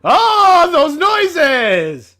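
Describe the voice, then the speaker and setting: An excitable host giving casual, exclamatory, dramatic reaction commentary. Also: very high-pitched, drawn-out words.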